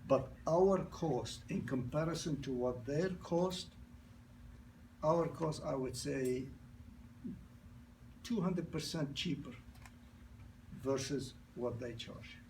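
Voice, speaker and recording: Gravelly older man with an accent. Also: a little high, slow pace, long pauses, a little mellow, recorded from a distance, slight background noise, poor audio. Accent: non-native English accent. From grew up in New York. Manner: broken grammar, repeats and restarts, storytelling. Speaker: product presenter. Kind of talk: informal sales presentation with anecdotes.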